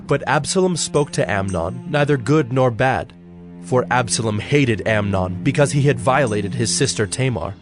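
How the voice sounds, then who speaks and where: A man giving a dramatic scripted narration of scripture as the narrator. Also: medium pitch. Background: music.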